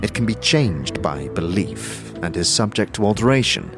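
A man voicing a patient, learned sage in a fantasy audio drama, lecturing formally and deliberately. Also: on the low side, sing-song. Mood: earnest, instructive. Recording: music behind the voice.

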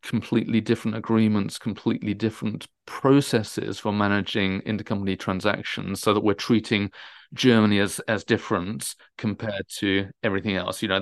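A man speaking as an expert guest in an interview, emphatic, often repeating and rephrasing his words haltingly. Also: fairly low pitch, vocal fry, no echo, clean studio sound. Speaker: transfer pricing specialist, interview guest.

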